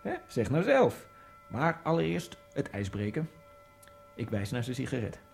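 An actor playing a nervous, wryly ironic Egyptian immigrant, narrating his thoughts in a hushed first-person monologue. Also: medium pitch, very animated, a little slow, vocal fry, faint music behind. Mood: brooding. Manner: hushed, brooding inner monologue.

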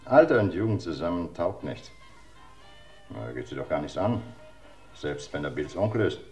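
An actor playing a weary, solicitous middle-aged bookkeeper in a radio crime drama, hesitant and confiding. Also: male voice, deep voice, sing-song, moderate pace, a little mellow. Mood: concerned. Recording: roomy radio drama recording, faint music.

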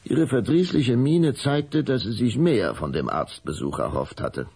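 A man's voice: mid-range voice, animated, unhurried, creaky, darkish tone, slight background noise. A man delivering scripted narration for an audio drama, in a storytelling style.

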